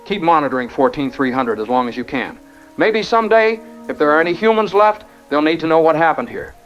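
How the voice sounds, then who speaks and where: Man playing a high-voiced, solemn radio survivor. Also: animated, a little fast, lightly acted. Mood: resigned, farewell. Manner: solemn, sincere radio talk. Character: resigned, sincere male ham radio survivor. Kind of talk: apocalyptic radio drama. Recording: dull radio sound, rain and music.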